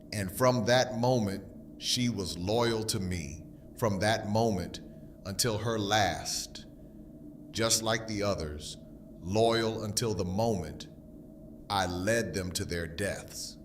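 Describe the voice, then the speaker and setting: Man acting as a slow, deep-voiced grieving king. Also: a little hiss or hum. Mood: mournful. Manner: grave, theatrical, eloquent. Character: grief-stricken, self-blaming fallen king, formerly a zookeeper. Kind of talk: audio drama acting.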